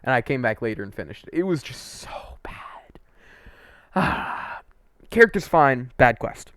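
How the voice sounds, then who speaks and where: A man's voice: medium pitch, sing-song, a little slow, a bit bright, no room sound, clean studio sound. A man giving casual, opinionated game review commentary, informal and emphatic, stretching words for emphasis.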